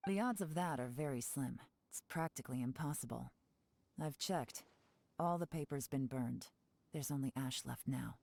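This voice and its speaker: A cool, low-voiced spymaster. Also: female voice, vocal fry, dry recording, full character voice. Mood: assured. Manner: calm, measured, knowing. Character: cool, composed woman intelligence agent. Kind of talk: game dialogue. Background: game music.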